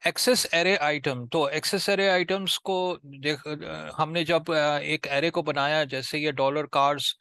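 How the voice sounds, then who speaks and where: A man with an Indian accent giving an informal step-by-step tutorial as a programming tutor, repeating himself haltingly and mixing in English technical terms. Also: on the high side, steady tone, bright, forceful tone, clean tone, dry recording.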